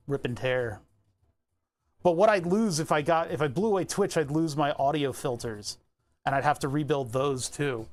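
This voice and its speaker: A fairly high-voiced man rambles through a relaxed live stream, chuckling now and then as he walks through his setup. He speaks into a lapel mic clipped on in his home workshop. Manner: easygoing, chuckles often, talks through his screen setup. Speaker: livestreamer.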